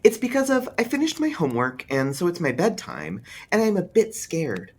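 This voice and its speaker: A man's voice: high-pitched, wildly swooping pitch, slight background noise, pitched above the performer's own voice. A man voicing a precocious, serious young boy in an eerie storybook, earnest and thoughtful, a bit scared. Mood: earnest, slightly scared. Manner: earnest, matter-of-fact, pausing thoughtfully. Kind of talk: read-aloud eerie storybook dialogue.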